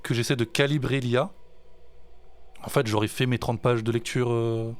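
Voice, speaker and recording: A man's voice: medium pitch, steady tone, normal speed, smooth. A French man, a music teacher and songwriter, chatting in a casual podcast and hesitating with many fillers and restarts.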